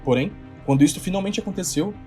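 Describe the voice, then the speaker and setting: A man's voice: high voice, fast talker, dark tone. A man with a Brazilian accent reading scripted literary narration for an audio drama. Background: music.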